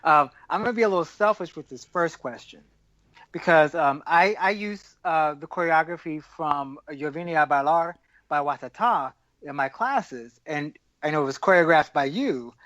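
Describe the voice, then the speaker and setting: A podcast co-host asking a guest questions in a relaxed, friendly way, with playful asides. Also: medium pitch, moderate pace, no room sound. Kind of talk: casual podcast interview.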